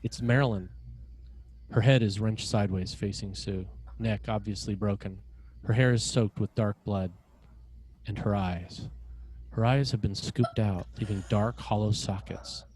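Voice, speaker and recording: A man narrating screenplay action and scene headings in a measured, dramatic way, in short phrases. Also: lowish voice, steady tone, darkish tone, a little hiss or hum, low-quality recording.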